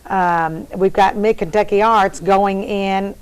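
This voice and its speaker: A woman hosting a local TV interview, admiring and halting, with many fillers. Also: mid-range voice, fairly even intonation, clear, resonant tone, low-quality recording. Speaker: TV interview host.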